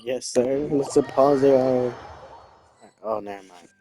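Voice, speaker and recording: A game player making casual, offhand, sweary remarks in a terse, deadpan way while playing. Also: mid-range voice, takes it slowly, music in the background. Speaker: game player commenting on playthrough. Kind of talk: casual offhand gameplay commentary. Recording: very noisy microphone with clicks.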